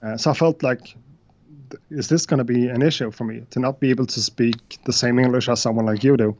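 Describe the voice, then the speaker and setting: Brief: Swedish-accented man, non-native English speaker, halting guest talk, dull dry call audio. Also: medium pitch, steady tone, darkish tone, dry recording. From Sweden. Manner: hesitant, many uhs and restarts. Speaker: guest beatmaker. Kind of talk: casual interview chat.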